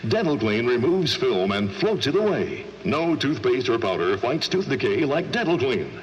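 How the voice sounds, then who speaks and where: Lively 1940s American radio ad man. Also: mid-range voice, normal speed, lo-fi sound. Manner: polished, persuasive sales delivery. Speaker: radio announcer. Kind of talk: 1940s radio advertising and station announcements. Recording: slightly noisy vintage radio.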